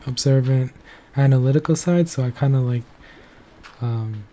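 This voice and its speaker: A man's voice: mid-range voice, normal speed, mellow. A young man talking casually on his own, loosely and in a rambling way, with many filler words. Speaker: commentary channel host. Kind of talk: casual solo commentary.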